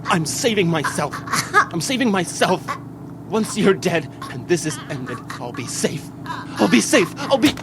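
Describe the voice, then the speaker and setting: A man's voice: high voice, sing-song, a little slow, background noise, heavily acted. An actor playing an unstable, lovesick young man who stammers and frets in old-time radio mystery style. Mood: menacing, unhinged. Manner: stammering, pleading, overwrought.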